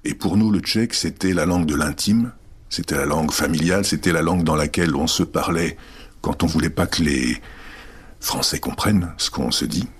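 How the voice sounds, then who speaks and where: Low husky-voiced man in his fifties. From Czechoslovakia. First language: Czech. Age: 50s. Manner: halting, many pauses and restarts. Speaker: interview guest. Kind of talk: reflective interview answers.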